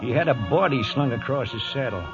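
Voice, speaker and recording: A man's voice: mid-range voice, animated, fairly quick, close to an everyday voice. A man acting a plainspoken old trail hand tells a radio western in a rustic American Western drawl. His voice swings widely and dramatically over music, in a dull vintage broadcast. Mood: grave, dramatic.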